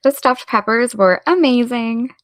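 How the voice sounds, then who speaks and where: An actress playing a studious, quietly wry teenage girl, matter-of-fact, in a naturalistic audio drama. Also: fairly high pitch, a little slow, no room sound, clean studio sound, close to an everyday voice.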